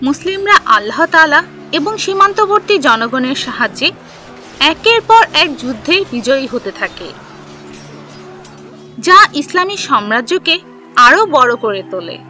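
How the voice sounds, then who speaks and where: A woman narrating a scripted history story for children, telling it in simple, deliberate phrases. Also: high-pitched, sing-song, bright, forceful tone, faint music behind.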